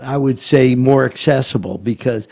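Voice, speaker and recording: A man hosting a casual talk show, speaking haltingly with many filler sounds. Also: mid-range voice, gravelly, warm tone. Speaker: host and law firm founder.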